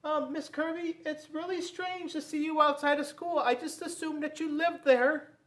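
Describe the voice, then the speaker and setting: A man's voice: very high-pitched, fairly even intonation, normal speed, fairly bright tone, voice pushed up. A man voicing an anxious, timid young schoolboy in a storybook, with a hesitant, plaintive manner. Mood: awkward, polite.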